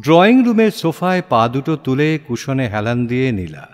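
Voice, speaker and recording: Male audio play narrator: swooping, expressive pitch, literary style, reverberant with music. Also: moderate pace. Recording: long reverb, music behind.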